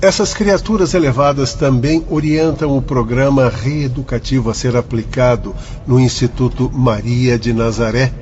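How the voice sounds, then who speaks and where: A man's voice: mid-range voice, fairly even intonation, moderate pace, few pauses, a little mellow, lo-fi sound, slight background noise, close to an everyday voice. A man playing an earnest, declaiming spirit helper. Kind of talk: radio drama acting.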